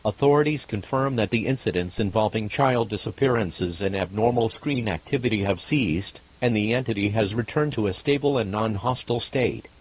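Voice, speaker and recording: A man's voice: lowish voice, normal speed, few pauses, husky, low-quality recording. A man reading a formal, impersonal scripted emergency alert as a broadcast announcer.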